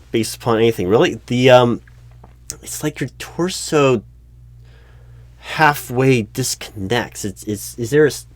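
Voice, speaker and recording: A man's voice: medium pitch, no room sound, natural voice. A man playing a polite, oblivious recruiting manager who stammers hesitantly and tactfully through a comedic one-sided job interview roleplay. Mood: flustered, concerned.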